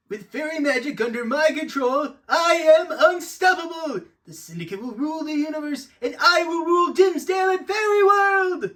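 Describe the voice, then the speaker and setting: An actor playing a gloating, megalomaniac cartoon villain who rants and shouts theatrically. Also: male voice, very high-pitched, far from the mic, heavily acted. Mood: maniacal triumph. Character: gloating megalomaniac male villain, wild and unhinged.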